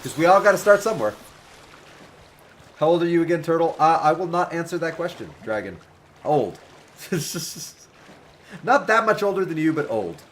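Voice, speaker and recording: A man in his twenties talks casually with his live chat as he plays a game. His voice sits a little high and swings up and down a lot. He answers viewers by name with a joking, animated manner. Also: normal speed, pauses often, a little hiss or hum. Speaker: game streamer. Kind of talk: casual livestream commentary, chatting with viewers.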